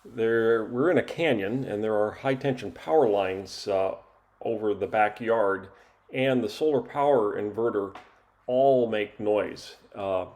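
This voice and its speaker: A man's voice: on the low side, measured pace, a little mellow. An older man giving a casual, explanatory hobby demonstration in plain narration. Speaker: hobbyist presenter demonstrating a restored radio.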